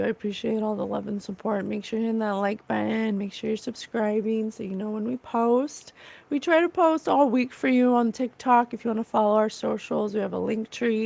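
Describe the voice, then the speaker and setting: Woman, fairly high voice, gravelly, slightly raw and rough, earnest, casual livestream host. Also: darkish tone. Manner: earnest, gushing about divine love. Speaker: psychic medium livestream host. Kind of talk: casual livestream chat reading comments.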